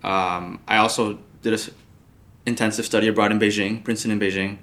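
A man's voice: fairly low pitch, little pitch movement, brisk, fairly bright tone. A young man from the United States, a podcast guest, answering thoughtfully with many fillers and restarts. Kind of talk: podcast interview.

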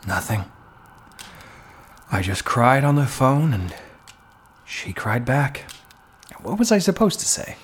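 An actor playing a frank, wry but shaken married man in a stage play, speaking in a halting, measured way over a noisy theater recording. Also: mid-range voice, very animated, husky, full character voice. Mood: somber, wry.

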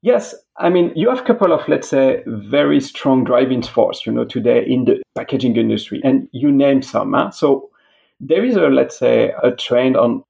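A middle-aged man with a French accent and a very creaky, mellow voice. He is a business executive being interviewed as an expert, and he explains things haltingly, with many filler phrases. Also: medium pitch, expressive. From France.

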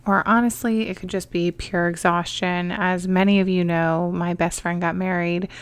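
Woman podcast host, lowish voice, slightly raspy with a cold, chatty and encouraging, dry close sound. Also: little pitch movement, normal speed, dry recording. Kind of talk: motivational podcast talk.

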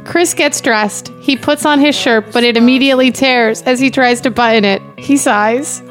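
A woman's voice: a little high, normal speed, soft background music. A woman guest on a podcast, bantering casually and reading out script directions.

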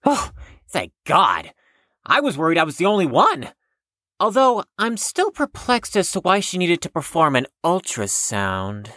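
A woman's voice: lowish voice, huge pitch swings, a little slow, a bit bright, dry recording, studio quality, exaggerated voice. A woman playing a prim, wordy, anxious patient who speaks formally and precisely in an audio drama. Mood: anxious, puzzled.

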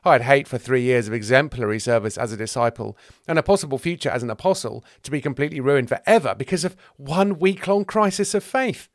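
A man's voice: fairly high pitch, sing-song, a little slow, hardly any pauses, fairly bright tone, dry recording, clean studio sound, pitched above the performer's own voice. A man voicing an anxious, aggrieved male disciple with a hesitant, plaintive manner in a comic scripture parody. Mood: relieved. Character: anxious, aggrieved male disciple fretting about his reputation.

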